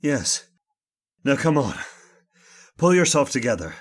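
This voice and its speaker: A man playing an urgent, commanding gentleman master in an old-time radio horror drama. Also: mid-range voice, very animated, unhurried, slightly rough. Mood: determined. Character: urgent, commanding gentleman trapped with his servant.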